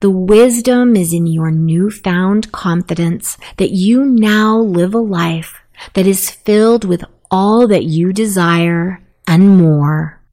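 A woman narrating a guided sleep meditation in a soothing, softly spoken, unhurried manner. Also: mid-range voice.

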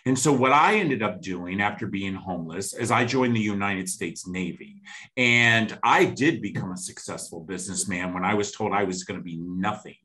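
A man's voice: on the low side, moderate pace, sharp tone. A man in his fifties hosting an interview show, blunt and emphatic, often saying "you know" and "okay". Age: 50s. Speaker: show host.

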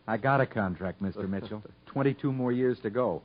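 A man acting a quiet, proud, plainspoken convict inventor who is polite but determined, in a 1950s radio drama. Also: fairly low pitch, fairly quick, close to an everyday voice. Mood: modest, wry. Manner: plainspoken, understated, earnest. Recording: muffled 1950s radio broadcast.

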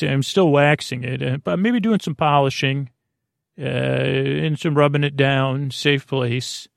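Creaky, meandering male bedtime podcaster. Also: fairly high pitch, dry recording, clean studio sound. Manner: meandering, halting, goofy, digressive. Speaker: podcast host. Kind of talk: rambling bedtime podcast monologue.

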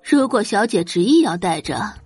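A stern, condescending butler, read by a woman in an audio drama. He is clipped and coldly polite, with a slightly husky tone over faint music. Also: mid-range voice, lively intonation, moderate pace, a little mellow. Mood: cold disapproval. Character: stern, disdainful male household butler, coldly formal. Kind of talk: audiobook character acting.

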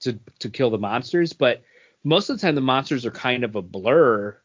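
A man's voice: mid-range voice, animated, moderate pace, slightly rough, no room sound, dull audio. A man co-hosting a podcast, chatting casually and bluntly giving his opinions. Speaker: podcast co-host. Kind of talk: casual comics and film chat.

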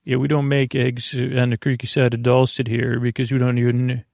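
A chatty, mildly puzzled diner waiter takes a breakfast order, as a man puts him on. The voice is creaky and gravelly, barely changed from the speaker's own. The sound is dry and close. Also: medium pitch, monotone, normal speed, a little mellow, no room sound, lightly acted. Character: a male diner waiter taking an egg order.

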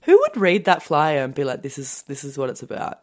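Nasal low-voiced woman. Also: huge pitch swings, a little mellow. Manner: chatty, giggly, quick asides. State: blocked nose. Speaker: podcast co-host. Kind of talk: tipsy casual chat.

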